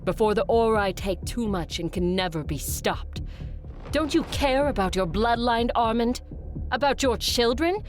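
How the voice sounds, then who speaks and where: A woman's voice: on the high side, lively intonation, unhurried, faint music behind. A woman playing a resolute vampire conqueror in an audio drama, firm, earnest and commanding. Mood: rousing appeal. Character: resolute female vampire conqueror rallying her allies. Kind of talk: dramatic audio fiction acting.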